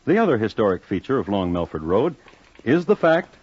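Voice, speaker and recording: A man narrating an old radio crime drama in a scripted, deadpan, droll manner. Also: on the low side, fairly quick, husky. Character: droll storyteller of historic crimes. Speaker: host narrator. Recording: dull old radio recording, music behind.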